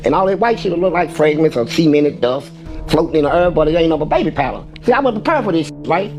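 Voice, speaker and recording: A man casually retelling a prison story in a profane, streetwise, animated way. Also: a little high, fast talker, soft background music. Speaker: former inmate storyteller.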